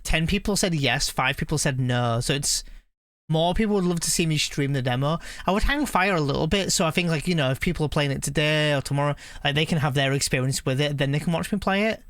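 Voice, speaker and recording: Higher-pitched man, clean dry sound. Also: fast talker, a bit bright, no echo. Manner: rambling, musing aloud. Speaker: game streamer. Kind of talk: casual live-stream chat and reaction.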